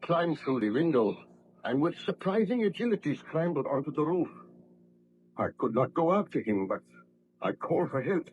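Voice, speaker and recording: An anguished baron and scientist, desperate with guilt. His delivery is melodramatic and formal in the old radio manner, in a slightly high male voice. The recording is vintage, with some noise. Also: big pitch swings, normal speed, narrow, tinny audio. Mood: haunted recounting. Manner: theatrical, impassioned, formal diction. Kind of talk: old-time radio drama acting.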